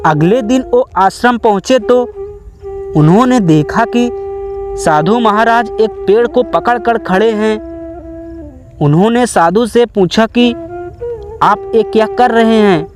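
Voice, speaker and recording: Male narrator for moral fables: high voice, unhurried deliberate delivery, music underneath. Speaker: storyteller. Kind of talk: scripted moral story narration in Hindi.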